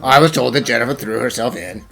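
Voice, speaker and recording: A man's voice: mid-range voice, fast talker, creaky, a bit bright, soft background music. A man voicing a dry, slightly exasperated police inspector in an anime fan dub, matter-of-fact and wry. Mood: serious.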